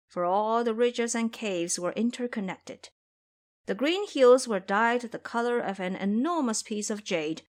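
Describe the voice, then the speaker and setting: A woman narrating a scripted fantasy tale as a dramatic storyteller. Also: fairly high pitch.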